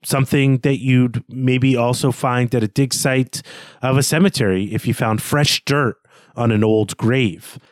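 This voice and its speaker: A man's voice: mid-range voice, steady tone, a little slow, no room sound. A man co-hosting a podcast, recapping and discussing fiction in a conversational, bookish, articulate way. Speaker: podcast co-host.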